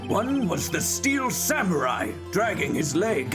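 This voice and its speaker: A man's voice: high voice, sing-song, measured pace. A man voicing a stern but whimsical male courtroom judge in an amateur video game read. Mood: pondering. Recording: game music under the voice.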